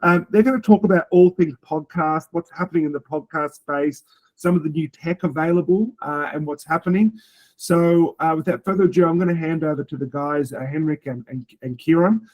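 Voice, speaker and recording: A man with a somewhat high voice hosts a business webinar. He introduces the guests in a loose, rambling way and fills his pauses with ums and uhs. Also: darkish tone, poor audio. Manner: rambling, many fillers. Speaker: webinar host.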